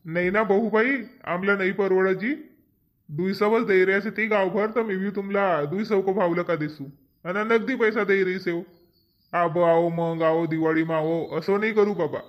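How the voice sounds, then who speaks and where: A man acts out a tight-fisted farm boss from a village cartoon comedy. His voice is high for a man, with a rural Powari accent and a firm bargaining manner, and the sound is muffled. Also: fairly even intonation, moderate pace, natural voice. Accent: Powari, eastern Maharashtra and Balaghat region.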